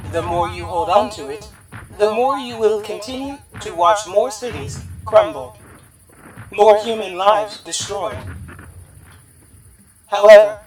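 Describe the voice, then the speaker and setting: A woman playing a haughty machine overlord who taunts in a formal, measured, condescending way in an audio drama. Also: medium pitch, far from the mic, natural voice, low-quality recording. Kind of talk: sci-fi audio drama acting.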